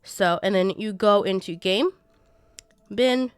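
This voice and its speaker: A woman's voice: mid-range voice, slow pace, clear, resonant tone, no room sound. Congested young woman, chatty tutorial. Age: 20s. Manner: chatty, rambling, self-correcting. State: congested from a cold. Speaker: gaming YouTuber. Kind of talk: casual screen-recorded tutorial.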